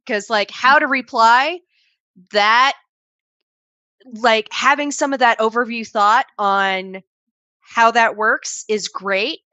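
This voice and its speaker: Brief: woman on a video call, bright and fairly high voice, chatty advice-giver. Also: no echo, muffled recording. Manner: conversational, explains with quoted examples, restarts often. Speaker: panel guest advising on assistant training.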